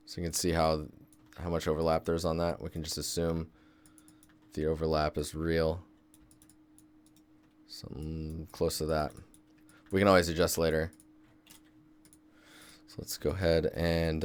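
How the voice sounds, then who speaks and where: A young man talks through his work on a casual live stream, easygoing and chatty as he answers viewers. His voice sits low and smooth. He is recorded at his desk on a close microphone. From Seattle, Washington State, US. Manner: laid-back, thinks aloud while working. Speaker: game environment artist streaming.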